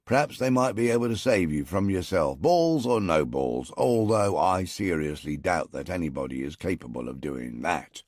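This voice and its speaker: A man voicing a coarse, burly male army general in a storybook, talking in a booming, jovial way. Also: expressive, moderate pace, hardly any pauses, darkish tone, no echo, studio quality.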